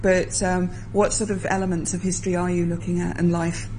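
A woman's voice: fairly low pitch, little pitch movement, fairly quick, darkish tone, a little hiss or hum, poor audio. A woman interviewing a guest, curious and asking probing follow-up questions. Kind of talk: conversational interview.

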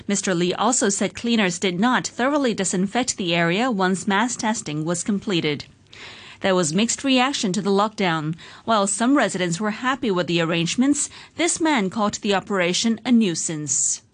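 Lady newsreader doing the top of the hour headlines, calm and even. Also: medium pitch. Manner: measured, even newsreader delivery. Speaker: news anchor. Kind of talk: scripted radio news reading.

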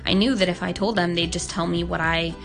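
Teen-ish girl from hong kong, lowish gravelly voice, earnest, says like a lot. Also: fairly even intonation, quick pace, music in the background. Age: young adult. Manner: earnest, open, many "like" fillers. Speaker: guest. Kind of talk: casual interview talk.

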